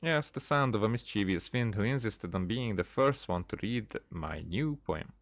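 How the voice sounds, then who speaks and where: A man's voice: on the low side, lively intonation, normal speed, dry recording. A man hosting a casual game playthrough, commentating and reading dialogue aloud in a hesitant, stumbling way. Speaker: gaming video host.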